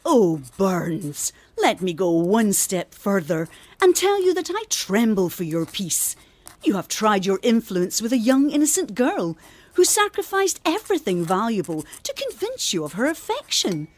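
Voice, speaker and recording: An actress playing a refined, earnest older gentlewoman reading her formal, gently preaching letter in a radio drama. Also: mid-range voice, very animated, unhurried. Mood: earnest, admonishing.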